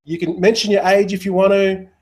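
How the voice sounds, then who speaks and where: A man's voice: sits high, flat delivery, fairly quick, darkish tone, breathy edge. A man hosting a live webinar, talking loosely with lots of fillers and a friendly manner. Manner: rambling, many fillers, friendly. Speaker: webinar host.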